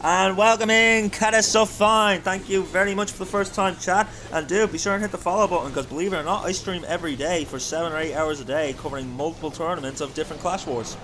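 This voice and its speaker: A man's voice: high voice, fairly even intonation, fast, hardly any pauses, a bit bright, slight background noise. An Irish man hosting a livestream, giving chatty live game commentary and talking directly to his viewers. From Ireland. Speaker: livestream host and esports caster.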